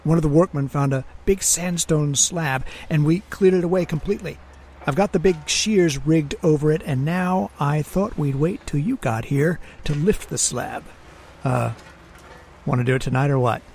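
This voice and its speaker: A man's voice: fairly high pitch, moderate pace, a little mellow, a little hiss or hum, natural voice. A man playing a friendly, casual excavation foreman who talks matter-of-factly in an old-time radio drama. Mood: casual, welcoming.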